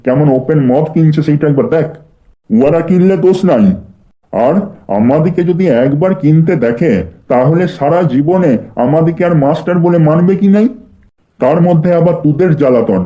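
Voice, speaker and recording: A man's voice: a little high, dark tone, lightly acted. A man with a western rural West Bengal accent playing an irritable, defensive schoolteacher who scolds and threatens in a comedy cartoon. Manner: grumbling, scolding. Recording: dull, muffled lo-fi audio.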